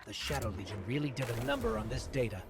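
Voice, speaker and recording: A man voicing a small, earnest robot sidekick from a video game. The pitch is medium for a male, the delivery concerned, with clear ambient noise around it. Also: quick pace, natural voice. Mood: earnest, curious. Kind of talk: video game companion dialogue.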